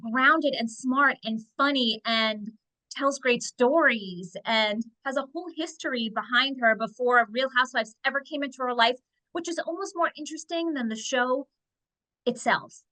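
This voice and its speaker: Co-host: an eager, chatty woman with a slightly high, bright voice. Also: low-quality recording. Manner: quick, eager, restarts mid-sentence. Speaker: podcast co-host. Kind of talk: casual chat.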